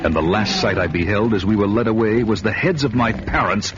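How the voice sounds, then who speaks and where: Grave barbarian storyteller played by a man, low voice, lo-fi radio drama. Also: brisk, background noise, close to an everyday voice. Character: grown Conan, brooding barbarian narrator. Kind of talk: old radio drama narration. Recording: dull old radio audio, loud music.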